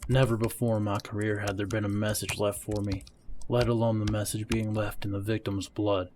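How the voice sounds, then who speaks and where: A man's voice: on the low side, little pitch movement, a little fast, background noise, close to an everyday voice. A man playing a serious, weary veteran homicide detective, narrating a crime drama in the first person. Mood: grim, tense.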